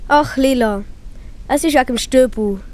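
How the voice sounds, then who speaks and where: A woman's voice: high voice, normal speed, a little mellow, lightly acted. A woman voicing a young schoolboy, honest and eager, with a Swiss German accent, in a children's audio play. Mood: sullen, hurt.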